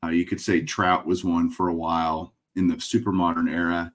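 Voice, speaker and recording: A middle-aged man with a Texas accent and a very low voice, speaking over a webcam from a room lined with framed memorabilia. He talks in a relaxed way, with dry jokes, and thinks out loud as he answers. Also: moderate pace. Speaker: guest collector. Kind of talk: casual interview over video call. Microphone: webcam video call.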